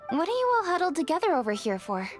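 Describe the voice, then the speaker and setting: A courteous young noblewoman in a fantasy game. Her voice is high and composed, with precise, businesslike phrasing. Soft music plays behind her. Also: huge pitch swings, quick pace, exaggerated voice. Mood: composed, apologetic. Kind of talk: video game character acting.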